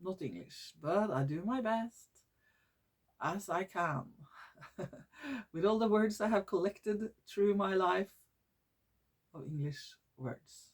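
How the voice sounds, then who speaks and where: A woman from Norway with a Norwegian accent, hosting her own vlog in English, which is not her first language, often pausing to search for words and restarting her sentences. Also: mid-range voice, animated. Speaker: YouTube channel host. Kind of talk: casual vlog monologue.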